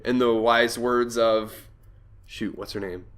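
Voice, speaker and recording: A man's voice: medium pitch, fairly even intonation, slow, a bit bright, clear, resonant tone. A young man hosting a let's play, chatting casually and thinking aloud as he plays, his voice a little nasal from a cold. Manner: chatty, thinks aloud, reads game text aloud. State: has a cold, slightly nasal. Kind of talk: casual gameplay commentary.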